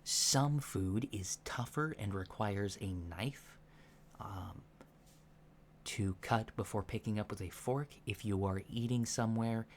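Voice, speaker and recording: A soft-spoken man giving gentle, measured instructions in an ASMR roleplay, pausing often mid-sentence. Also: fairly low pitch. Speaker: ASMR roleplay performer playing a training instructor. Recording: lo-fi with faint background noise.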